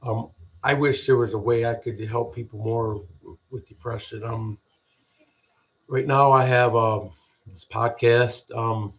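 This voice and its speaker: Man, deep voice, podcast host, rambling, halting, encouraging talk, middle pitch. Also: steady tone. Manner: halting, restarts often, repeats "people". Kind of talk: rambling spiritual pep talk.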